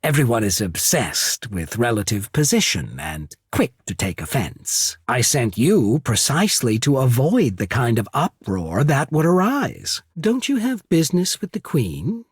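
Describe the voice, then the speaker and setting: A man voicing a shrewd, courteous trading company secretary in a video game, speaking formally and diplomatically with subtle disdain and a husky voice. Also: mid-range voice, sing-song, a little slow, hardly any pauses, no room sound, studio quality. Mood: wry, disdainful. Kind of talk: video game character voice acting.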